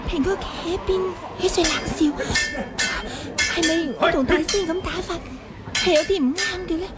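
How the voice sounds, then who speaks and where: A woman's voice: very high-pitched, normal speed, very noisy. An actress with a Hong Kong Cantonese accent playing a coy, teasing young swordswoman in a 1980s radio drama. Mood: scheming. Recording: 1980 radio drama, loud music and crowd.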